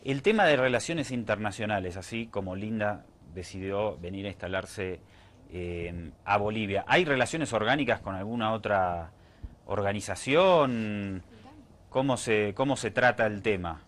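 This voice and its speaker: A man's voice: fairly low pitch, a little slow, a bit bright. A man hosting a group interview, asking probing questions in a conversational way. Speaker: interviewer, show host.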